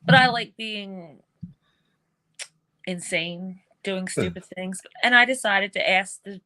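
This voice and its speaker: A woman's voice: mid-range voice, slow pace, pushed and bright, low-quality recording. A young woman with a New York accent, a wrestler chatting as a podcast guest, brash and playful, laughing often. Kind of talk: casual podcast interview.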